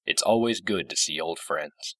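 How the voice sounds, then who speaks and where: A man's voice: low-pitched, moderate pace, sharp tone, no room sound, distant mic. A man voicing a bitter, protective male chimera creature in a storybook reading, curt and spiteful. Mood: curt, guarded.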